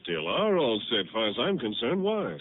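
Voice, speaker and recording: A man's voice: mid-range voice, wildly swooping pitch, normal speed. A man acting a puzzled country sheriff in a 1950s radio drama, with a rural Western American accent and a folksy, plain-spoken manner. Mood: puzzled, stubborn. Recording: noisy, narrow-band old radio recording.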